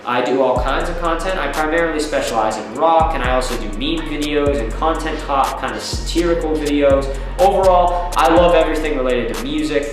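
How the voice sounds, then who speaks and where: A young man talks through a review with a heavy cold, his voice stuffy and a little rough. He rambles and repeats himself in an upbeat, casual way. The room rings with strong echo and music plays behind him. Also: a little high, a little fast. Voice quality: slightly rough voice. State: congested, has a cold.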